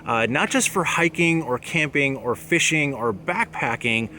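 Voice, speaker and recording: A man's voice: medium pitch, expressive, measured pace, bright, forceful tone. A man talking casually and plainly to camera as host of an outdoor gear channel, recorded outdoors. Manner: plain-spoken, conversational. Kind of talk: casual product talk to camera. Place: outdoors among apartment buildings and trees. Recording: noticeable background noise, outdoor ambience.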